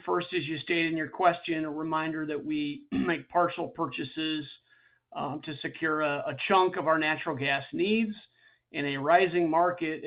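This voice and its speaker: A man's voice: a little high, creaky. A man, a company executive, giving a methodical, formal explanation to investors on an earnings call. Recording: slightly muffled call audio.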